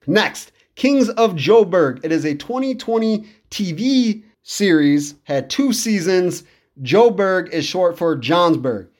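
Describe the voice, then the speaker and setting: A man's voice: high-pitched, darkish tone. A man in his thirties giving casual, enthusiastic solo commentary as a podcast host, in a conversational way. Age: 30s.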